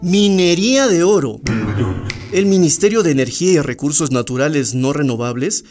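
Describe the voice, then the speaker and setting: A man's voice: a little high, moderate pace, a little mellow, faint music behind, close to an everyday voice. A man playing an ingratiating, corrupt mining company salesman in a radio drama, unctuous and emphatic. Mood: boastful. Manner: unctuous, emphatic, repeats words for effect.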